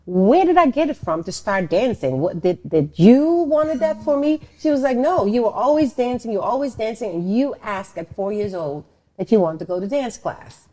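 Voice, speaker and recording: A middle-aged woman from Suriname and the Netherlands telling their story casually, often pausing and repeating words. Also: medium pitch, mellow. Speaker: show host and dancer telling their story.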